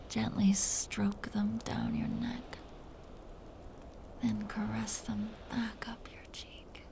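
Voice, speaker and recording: A woman voicing a seductive, dominant female vampire captor in an intimate audio roleplay, speaking in a breathy, teasing whisper. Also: medium pitch, a little hiss or hum. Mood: seductive, possessive. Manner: slow, teasing, softly condescending.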